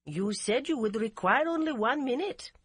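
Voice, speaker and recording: A woman's voice: a little high, animated, husky, no echo, voice pushed up. A woman doing a grave, formal father. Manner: formal, measured.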